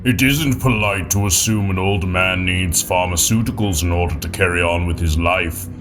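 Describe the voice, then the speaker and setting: A man voicing a weary, solemn old wizard with a booming voice in a comic story, music behind. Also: normal speed, close to an everyday voice. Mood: offended, gloomy. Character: weary old male wizard, lonely, solemn, easily offended. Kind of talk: comic audiobook character voice.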